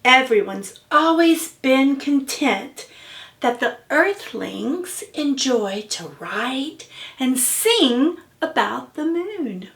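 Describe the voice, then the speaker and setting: Woman as a gentle, unhurried storybook moon mother. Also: high voice, sing-song, far from the mic, full character voice. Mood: gentle, thoughtful. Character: gentle, thoughtful moonling mother from a picture book.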